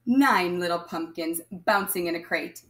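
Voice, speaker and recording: Female primary teacher, lower-pitched, very unhurried delivery, read-aloud counting lesson for little kids, leaves gaps for answers. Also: sharp tone. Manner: instructional, addressing young children, pausing for answers. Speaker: primary school math teacher.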